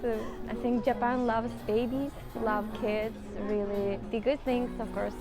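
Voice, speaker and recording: A Ukrainian woman in her thirties, a native Ukrainian speaker with a Ukrainian accent, chatting casually as an interview guest and sometimes pausing to find her English words. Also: a little high, fairly even intonation. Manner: chatty, searching for words in English, frequent yes-yes. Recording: background music throughout.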